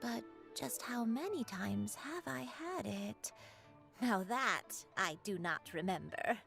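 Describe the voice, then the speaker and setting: A dreamy, forgetful elderly granny in a video game. Her creaky voice moves very slowly and wistfully, wandering up and down in pitch as she rambles. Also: animated, fairly bright tone, faint music behind, full character voice. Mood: musing. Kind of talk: English video game dub acting.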